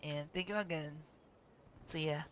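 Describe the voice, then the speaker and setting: A man streaming a video game live, chatty, giggly and excitable. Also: fairly high pitch, measured pace, slight background noise. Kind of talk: casual live-stream chat.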